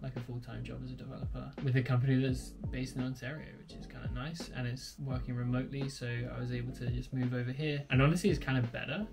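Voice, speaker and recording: A man's voice: medium pitch, flat delivery, fast, creaky, few pauses, over music. A man in his mid twenties answering questions as a guest, laid-back and casual, with lots of filler words, recorded on a fixed camera in a bedroom. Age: 20s. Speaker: guest, partner. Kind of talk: casual chat. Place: bedroom at home.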